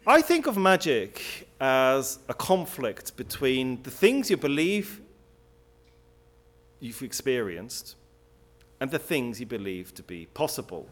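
A man's voice: mid-range voice, very animated, slow. A middle-aged man from Switzerland giving a public lecture, speaking emphatically with occasional restarts. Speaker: guest speaker.

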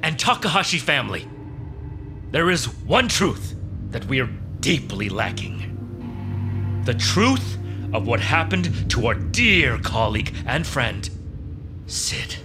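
An actor playing a pompous, theatrical office boss in an audio drama, speaking gravely with dramatic pauses. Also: male voice, mid-range voice, big pitch swings, music in the background. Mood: solemn, self-important.

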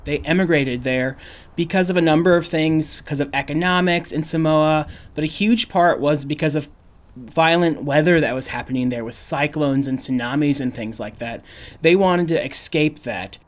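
A man's voice: fairly high pitch, moderate pace. A young adult male reporter explaining a local story in a casual way, with some fillers. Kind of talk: radio news feature narration.

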